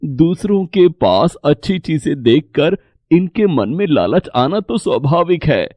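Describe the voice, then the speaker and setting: A man voicing a poor, honest farm labourer, humble and caring, with an earnest, halting way of speaking in a moral story. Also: fairly high pitch, no echo, dull audio. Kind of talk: acted Hindi moral story dialogue.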